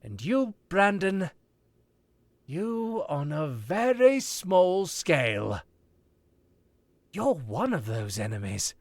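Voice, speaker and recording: An actor playing a sophisticated, coldly accusing male author in a 1940s-style radio play, measured and scornful. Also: a little high, very animated, no room sound, heavily acted. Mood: grave. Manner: measured, scornful, rising to shouting fury.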